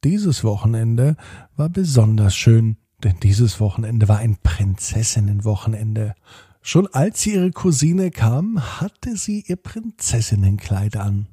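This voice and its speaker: A man's voice: fairly low pitch, warm tone, slightly rough, dry recording, studio quality. A man hosting a children's podcast and narrating a bedtime story, speaking directly to young listeners. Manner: addresses young listeners directly, drawn-out repeated words.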